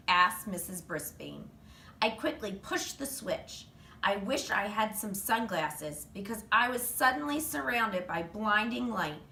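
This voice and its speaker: A woman reads a children's book aloud with animated expression. Her voice is bright and forward, caught a little far from the mic in an echoey room with faint noise behind it. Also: mid-range voice. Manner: animated storytelling. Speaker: reader, teacher.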